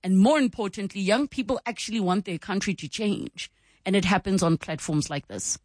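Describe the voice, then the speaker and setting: A woman's voice: mid-range voice, normal speed, darkish tone, no echo, studio quality. A woman, hosting a radio chat, chatty and laughing often as she asks her guests probing questions. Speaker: radio host. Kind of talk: casual on-air chat.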